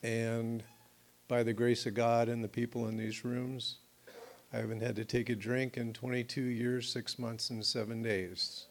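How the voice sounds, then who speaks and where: A middle-aged man telling his life story at a recovery meeting, halting and full of fillers and restarts. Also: medium pitch, little pitch movement, slight background noise. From United States. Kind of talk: personal testimony at a meeting.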